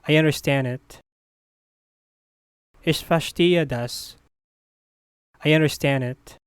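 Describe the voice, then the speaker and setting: A teacher's voice slowly repeating short phrases in a language drill, each one said clearly and evenly. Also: mid-range voice, no room sound, clean studio sound. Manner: deliberate, evenly paced repetition of short phrases.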